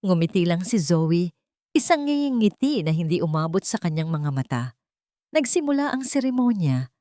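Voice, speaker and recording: A woman's voice: on the low side, very animated, moderate pace, mellow, no echo, clean studio sound. A woman with a Filipino accent narrating a scripted romantic drama story.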